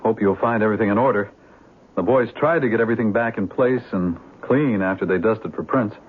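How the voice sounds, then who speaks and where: An actor playing a courteous, grave police lieutenant who speaks hesitantly in a measured way, in a radio drama. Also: male voice, fairly low pitch, husky. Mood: friendly. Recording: muffled lo-fi 1970s radio recording.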